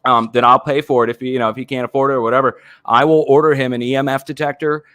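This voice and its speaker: A man's voice: mid-range voice, flat delivery, fast talker, fairly bright tone, dry recording, studio quality. A man in his forties, an earthquake livestreamer, rambling earnestly as he explains his plans on a casual video call. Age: 40s.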